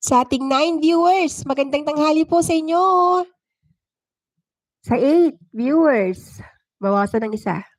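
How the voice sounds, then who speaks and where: A woman's voice: high-pitched, unhurried, pauses often, a little mellow, dry recording, low-quality recording. A woman with a Filipino accent, working as a storyteller and host on a livestream. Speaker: storyteller and live-streaming channel host. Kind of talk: dramatic first-person story narration; casual livestream chat with viewers.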